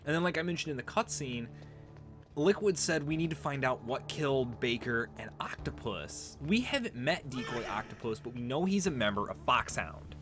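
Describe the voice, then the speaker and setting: A man's voice: medium pitch, lively intonation, normal speed. A man giving casual let's play commentary, explaining game lore in a conversational, rambling way. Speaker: let's player. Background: game music and audio.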